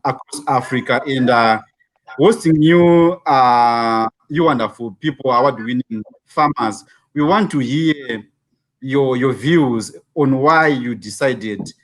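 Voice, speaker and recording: A man moderating an online panel, speaking haltingly with many fillers and repeated words. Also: mid-range voice, poor audio.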